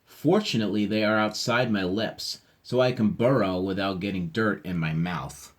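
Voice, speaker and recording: A man's voice: fairly low pitch, moderate pace, natural voice. Man reading as a matter-of-fact storybook animal. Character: storybook animals proudly explaining their odd features. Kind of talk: children's storybook read-aloud.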